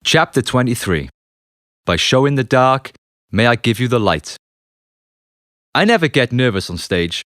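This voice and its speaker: A man reading his own memoir as an audiobook, in an expressive, confessional storytelling manner with dramatic pauses. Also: medium pitch, no room sound, clean studio sound. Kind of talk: scripted memoir narration. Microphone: close, dry studio narration mic.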